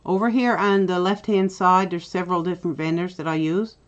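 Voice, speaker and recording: A middle-aged woman with a Newfoundland accent, chatty and step-by-step as she hosts a software tutorial. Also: fairly low pitch, fairly even intonation, a little fast, muffled recording. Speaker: tutorial host. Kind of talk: screen-recorded software tutorial.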